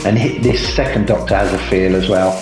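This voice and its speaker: A middle-aged man from England, a guest telling his story in a relaxed, unhurried way, with frequent ums and restarts. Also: deep voice, echoey room, music in the background. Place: home, by the sea.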